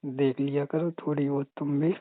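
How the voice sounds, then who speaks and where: A man's voice: on the high side, flat delivery, normal speed, no room sound, natural voice. A man playing a flirtatious, possessive brother-in-law, chatting casually and teasingly on a phone call. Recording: tinny narrow phone-call audio.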